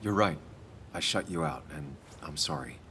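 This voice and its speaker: An actor playing a brooding, introspective man in his thirties, measured and sincere, in naturalistic video game drama. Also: very deep voice, expressive, sharp tone, slight background noise, full character voice. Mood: apologetic, supportive.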